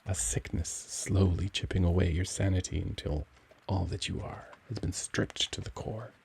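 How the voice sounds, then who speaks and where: A man playing a gentle, cultured young vampire in an intimate ASMR roleplay, speaking formally and tenderly as he struggles for control. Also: low voice, fairly even intonation, normal speed, vocal fry, slight background noise. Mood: confessional, brooding. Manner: formal, courtly, tender, brooding.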